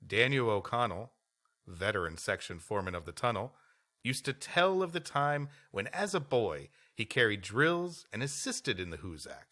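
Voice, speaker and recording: Bright-voiced, expressive male podcast co-host. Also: lowish voice, moderate pace. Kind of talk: scripted story reading mixed with bantering chat.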